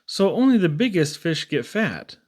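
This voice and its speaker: A man with a moderately high-pitched voice reads comic poetry for children. His delivery is expressive and theatrical, with a playful storyteller's feel. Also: moderate pace. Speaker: poetry reader. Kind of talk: expressive reading of humorous children's verse.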